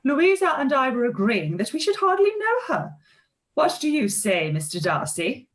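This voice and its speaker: A woman's voice: high-pitched, very animated, moderate pace. A woman playing a haughty, spiteful young society lady in a period radio play, with an affected, disdainful drawl. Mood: catty, scornful.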